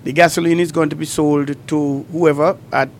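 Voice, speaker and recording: A man's voice: a little high, level tone, normal speed, a little hiss or hum. A man co-hosting a call-in radio show, explaining things step by step with examples. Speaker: radio talk show co-host.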